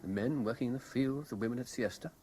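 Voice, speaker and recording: An actor playing an obsessed, lovelorn British man in a 1970s radio drama, speaking with intense, deliberate theatrical delivery. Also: medium pitch, warm tone, close to an everyday voice. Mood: grim, accusing. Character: obsessed lovelorn man piecing together a betrayal. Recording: noisy old radio broadcast recording.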